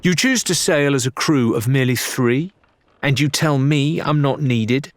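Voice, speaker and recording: A man voicing a proud, ambitious young woman pirate with a flat, supercilious, challenging manner in an audiobook. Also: mid-range voice, unhurried, dry recording, pitched above the performer's own voice, lightly acted. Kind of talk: audiobook character acting.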